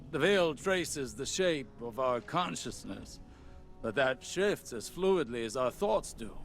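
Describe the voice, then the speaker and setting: A learned male sorcerer talks at an unhurried pace with earnest precision. The acting is dramatic game dialogue, with faint music underneath. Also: mid-range voice. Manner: deliberate, precise, explanatory.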